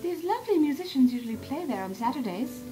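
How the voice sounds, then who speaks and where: A woman voicing an elegant, polite female unicorn pony in a fan fiction audio drama, with refined, theatrical wording and gentle teasing. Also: high voice, sing-song, fast. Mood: bright, gracious. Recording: music behind the voice.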